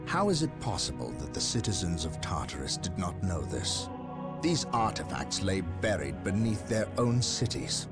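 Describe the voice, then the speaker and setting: A deep, guilt-haunted warrior captain. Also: male voice, very animated, normal speed, over loud music. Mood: grim. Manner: intense, anguished, confrontational. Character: grim, guilt-haunted male warrior captain, middle-aged. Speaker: military captain. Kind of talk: video game cutscene voice acting. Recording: voice over orchestral game score.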